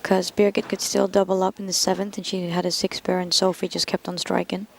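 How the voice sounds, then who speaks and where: A woman's voice: on the low side, little pitch movement, brisk, gravelly. A woman doing live sports commentary at a bowling event, chatting casually in a giggly, enthusiastic, rambling way. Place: bowling championship venue. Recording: noisy hall, crowd behind. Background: bowling crowd.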